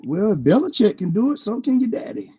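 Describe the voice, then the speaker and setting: A man's voice: sits high, brisk, dull audio, crowd noise. A man co-hosting a casual podcast, reading listener messages aloud and joking with his co-hosts. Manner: animated, reads listener letters and chat aloud, jokes. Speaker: podcast co-host.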